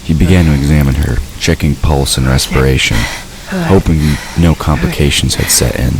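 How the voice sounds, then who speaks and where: A man's voice: a bass voice, normal speed, background noise. A man narrating a scripted fantasy story for an audio drama.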